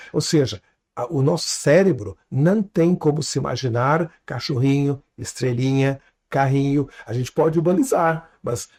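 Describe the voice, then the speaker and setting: A man speaks with a foreign accent picked up in southern Brazil. His voice is gravelly and sits a little high for a man. He teaches in an animated way, checking in with short tags and dropping into quiet asides. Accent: foreign, learned in southern Brazil. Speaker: storytelling consultant, guest. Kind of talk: interview, explaining with examples.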